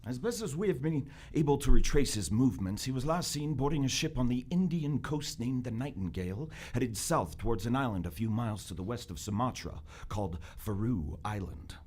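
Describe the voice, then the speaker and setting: Man playing a stuffy, formal gentleman envoy, very animated. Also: mid-range voice, a little fast, hardly any pauses, breathy edge. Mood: earnest, measured. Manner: formal, deferential yet insistent, precise. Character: stuffy, dutiful, unflappable gentleman envoy of Victorian Britain. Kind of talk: live radio theater acting.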